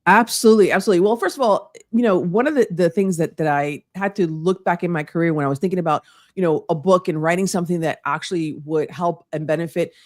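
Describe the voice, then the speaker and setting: A woman's voice: fairly low pitch, fast, a little mellow, dry recording, studio quality. A woman from Puerto Rico whose first language is Spanish, giving earnest interview answers full of restarts and "you know", with Spanish phrases mixed in. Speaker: guest speaker.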